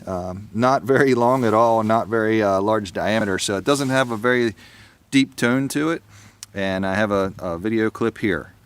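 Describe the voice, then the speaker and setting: A man's voice: fairly low pitch, normal speed, smooth. A man hosting a casual how-to video, explaining things informally. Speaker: YouTube channel host.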